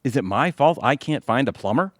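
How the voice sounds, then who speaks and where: An actor playing a wisecracking, grumbling husband in a comic audio drama, with a joking, wry delivery. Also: male voice, medium pitch, animated, a little fast, a bit bright, no room sound, clean studio sound. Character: wisecracking, cheerfully grumbling husband and DIY dreamer.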